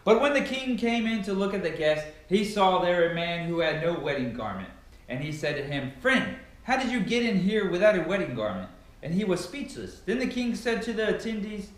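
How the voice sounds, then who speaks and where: A man reads scripture aloud in a very echoey, reverberant room. His voice sits fairly high and swings widely in pitch, with a solemn, declamatory delivery. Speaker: amateur Bible reader. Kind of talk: dramatic scripture reading.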